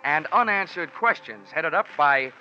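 An actor playing a wry, world-weary insurance investigator in a 1940s radio drama, deadpan and wisecracking, on a dull vintage recording. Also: male voice, fairly high pitch, a little slow, loud music behind the voice. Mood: wry narrating. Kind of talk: 1940s radio detective acting and narration.

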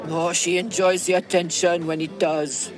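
An actress playing a wealthy, worldly older widow, elegant and teasing, in an audio drama. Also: female voice, lowish voice, flat delivery, normal speed, crowd noise, natural voice, low-quality recording. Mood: advising.

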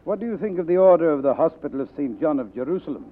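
An actor playing a suave, greedy fat crime boss with florid, courteous manners in a 1940s radio drama. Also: male voice, fairly high pitch, animated, racing, lightly acted. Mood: genial, grandiose. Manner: florid, formal, courteous address. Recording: tinny vintage 1940s radio recording.

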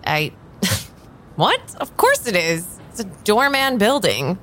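An actress playing a jaded, reluctant tarot reader in a naturalistic improvised audio drama, hesitant and exasperated. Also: female voice, mid-range voice, wildly swooping pitch, slow, a bit bright, slight background noise, full character voice. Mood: incredulous. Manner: hesitant, sighing, muttering.

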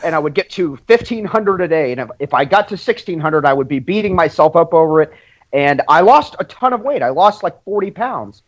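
A man's voice: on the high side, lively intonation, a little fast, lo-fi sound. A man co-hosting a podcast, telling personal stories casually and emphatically. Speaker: podcast co-host. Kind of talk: casual chat, personal anecdotes.

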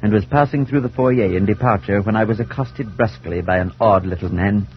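A man acting a mild, courteous English clergyman in a 1940s radio drama, speaking in a measured, literary way. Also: lowish voice, a little hiss or hum, lightly acted. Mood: grave, reflective. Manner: measured, literary, polite delivery. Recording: muffled 1940s radio recording.

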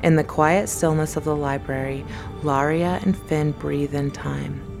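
A woman's voice: sits low, steady tone, a little slow, creaky, over music. A woman giving scripted dramatic narration as the narrator of a radio play.

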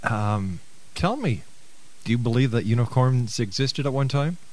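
A man's voice: medium pitch, lively intonation, unhurried, darkish tone. An older man, hosting a live talk radio show in an announcer's style.

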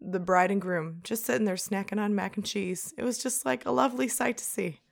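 A young woman with a low, gravelly voice co-hosts a casual podcast. She talks in a chatty, animated way and laughs often. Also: fairly quick. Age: young adult. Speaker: podcast co-host. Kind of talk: casual chat.

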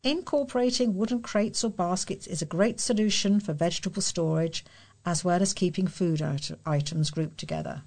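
A British woman reads a printed article aloud as a volunteer reader. Her voice sits a little lower than most women's, and the delivery is plainly scripted. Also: moderate pace, darkish tone. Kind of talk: scripted reading of lifestyle article.

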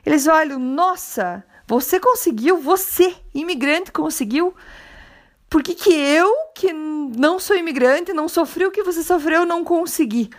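A woman's voice: sits high, measured pace. A woman hosting a motivational podcast, talking earnestly and straight to the listener. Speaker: podcast host.

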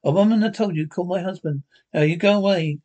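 A man voicing an irritable, suspicious small-town housewife in a brusque, scolding way, with a husky voice. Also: sits high, a little fast, dark tone, lo-fi sound. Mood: irritated.

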